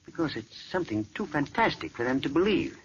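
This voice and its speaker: A man's voice: on the high side, very animated, a little fast, slight background noise. A man playing an earnest male doctor explaining a case, in 1940s radio acting style, on an old muffled radio recording.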